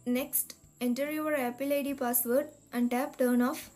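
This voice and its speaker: High-voiced woman narrating a tutorial. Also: fairly even intonation, unhurried, darkish tone. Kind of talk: scripted step-by-step tech tutorial narration. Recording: voice-over with music behind. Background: background music.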